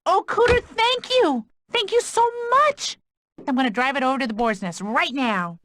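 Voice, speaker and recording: A woman's voice: high voice, expressive, normal speed, fairly bright tone, dry recording. A woman playing a spirited, friendly young country woman. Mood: delighted.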